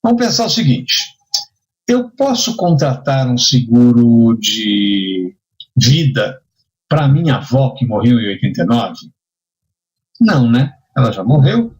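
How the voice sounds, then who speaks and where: A man's voice: medium pitch, big pitch swings, normal speed, darkish tone, rough recording. A man with a Brazilian accent giving a conversational lecture, teaching didactically with rhetorical questions and frequent check-ins. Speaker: insurance and risk management instructor. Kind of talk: conversational lecture with hypothetical examples.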